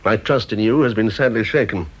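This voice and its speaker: A cold, officious senior British government scientist, played by a man in an old radio drama. His voice is low and husky, with clipped, sarcastic delivery. The recording is dull and muffled. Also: fairly quick, close to an everyday voice. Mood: angry, scornful. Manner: clipped, interrogating, sarcastic, reprimanding.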